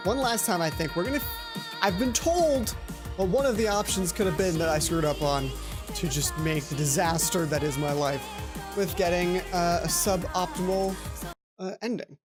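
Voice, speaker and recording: A woman's voice: lowish voice, moderate pace. A woman hosting a let's play video in a casual, rambling, self-deprecating way, given to exclamations. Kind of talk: casual game commentary with read-aloud dialogue. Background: game music.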